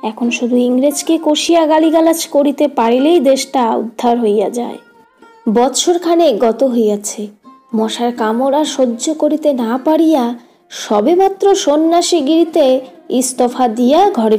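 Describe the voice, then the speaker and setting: A woman's voice: high voice, lively intonation, a little slow, mellow. A woman reading a literary story as an audiobook narrator, in a scripted storytelling style. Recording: guitar music behind the voice. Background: plucked guitar music.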